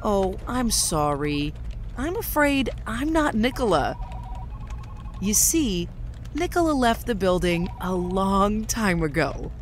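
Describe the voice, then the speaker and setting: A woman's voice: medium pitch, very animated, takes it slowly, faint music behind. A woman playing a gleeful, sinister female alien posing as an office worker in an audio drama, with a mock-innocent, taunting delivery. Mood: mocking menace.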